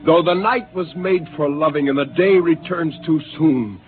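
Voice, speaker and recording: An actor playing a brooding astronaut gone rogue. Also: male voice, fairly high pitch, normal speed, faint music behind, close to an everyday voice. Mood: wistful. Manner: quiet, intense, poetic. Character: brooding male astronaut turned killer. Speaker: actor in a radio drama. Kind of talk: 1950s radio acting. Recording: tinny 1950s radio broadcast with faint hiss.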